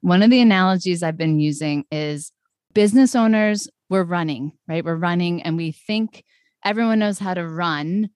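A woman's voice: on the low side, moderate pace, darkish tone, no room sound, clean studio sound. A middle-aged woman, a brand strategist guest on a podcast, talking casually and explaining with analogies, laughing often. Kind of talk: casual interview chat.

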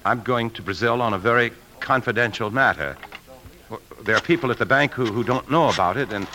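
An actor playing an outwardly calm but secretly anxious bank man, with tense, clipped delivery in 1950s radio drama style. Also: mid-range voice, brisk, slight background noise, lightly acted. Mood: nervous. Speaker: lead actor and narrator. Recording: vintage 1950s radio broadcast.

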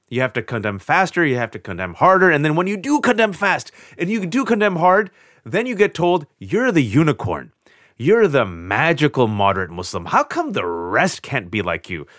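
A middle-aged man from California, a guest author on an interview podcast, telling stories with animated, sardonic shifts in his voice. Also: no room sound, clean studio sound. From California, USA. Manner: animated, sardonic storytelling with quick shifts in pitch. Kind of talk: interview conversation.

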